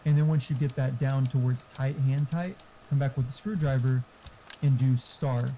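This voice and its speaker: A man's voice: medium pitch, fairly even intonation, moderate pace, dark tone. A man chatting casually during a game stream, explaining things in a rambling way and swearing freely. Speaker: gamer friend giving tech advice.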